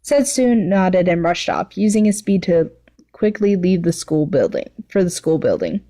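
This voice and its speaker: Mellow woman reading a story. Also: fairly low pitch, big pitch swings, normal speed. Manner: casual, stumbles and corrects herself aloud. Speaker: fan fiction reader. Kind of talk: story narration, informal amateur reading.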